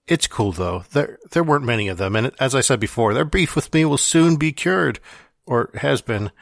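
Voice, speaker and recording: A man's voice: mid-range voice, expressive, moderate pace, creaky, no echo, studio quality. A man playing a wry, confessional dying man reading out his farewell letter in an audio drama. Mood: earnest, reflective. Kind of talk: dramatic monologue in fiction podcast.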